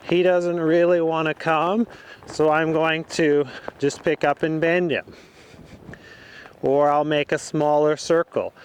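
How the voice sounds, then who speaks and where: Man, riding instructor, calm explanatory commentary, clear smooth voice, slow pace, outdoors. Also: on the high side. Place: outdoor riding arena on a farm.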